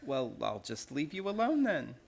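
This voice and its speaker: A woman's voice: sits low, big pitch swings, moderate pace, creaky, a little mellow, slight background noise, heavily acted. A woman playing a tired older landlady in a radio play, with folksy, uneducated, weary speech. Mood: weary, obliging.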